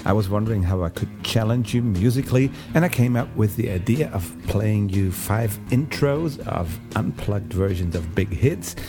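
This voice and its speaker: A man's voice: on the low side, lively intonation, moderate pace, few pauses. A man from Germany introducing a music quiz for the hosts in a friendly, playful way. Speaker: listener sending a music quiz. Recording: guitar music playing behind.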